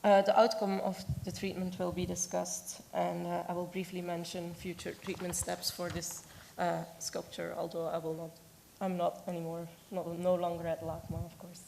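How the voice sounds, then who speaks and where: Woman presenting at a conference, losing her voice, pretty even tone. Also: fairly low pitch, normal speed, gravelly, a little hiss or hum. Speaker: conference presenter, objects conservator.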